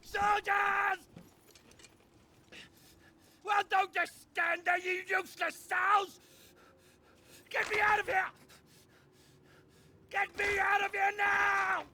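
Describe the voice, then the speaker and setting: An actor playing a cowardly, arrogant young noble general who shouts insulting, panicked demands in a video game cutscene. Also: male voice, very high-pitched, monotone, takes it slowly, long pauses, exaggerated voice. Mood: screaming panic.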